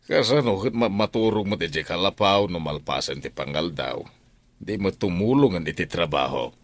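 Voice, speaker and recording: Deep-voiced, grumbling Ilocano uncle. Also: male voice, expressive, moderate pace. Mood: firm, grumbling. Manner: grumbling, blunt. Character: gruff uncle, complaining employer with a farm garden. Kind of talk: Filipino radio drama acting.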